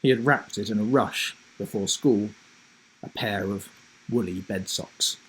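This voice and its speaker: A man chatting and reading a story to children as host of a live broadcast, speaking deliberately in short emphatic phrases. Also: lowish voice, a bit bright, slight background noise, rough recording. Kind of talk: friendly instructional chat and storybook reading for children.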